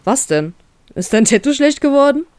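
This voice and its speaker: A woman voicing a caring, playful young boyfriend in a story, coaxing gently and teasing. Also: high voice, big pitch swings, moderate pace, no echo, pitched above the performer's own voice. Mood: playful, curious.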